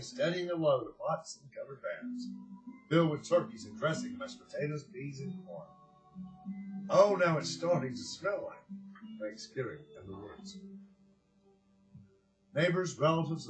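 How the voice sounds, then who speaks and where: A man's voice: a little high, rough recording. Man reading to children over music. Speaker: children's story reader and host. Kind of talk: storybook reading aloud to children.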